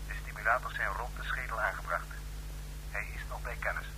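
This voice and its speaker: A man's voice: on the low side, a little fast, lightly acted. An actor playing a methodical male chief medic of a regime laboratory in a radio drama, formal and clinical. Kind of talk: radio drama acting in Dutch. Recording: radio drama with faint noise.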